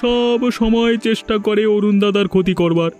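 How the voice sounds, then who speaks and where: A man's voice: high-pitched, normal speed, darkish tone, faint music behind, lightly acted. A man voicing a loyal, indignant young villager in a cartoon, gossiping emphatically. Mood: doubtful. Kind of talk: cartoon voice acting.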